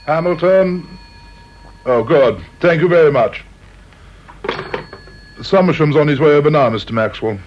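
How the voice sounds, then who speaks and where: An actor playing a deferential, easily flustered senior office manager in a radio drama, British accent, hesitant manner. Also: male voice, fairly high pitch, measured pace, pauses often, natural voice. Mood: polite. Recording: dull, slightly noisy radio play recording.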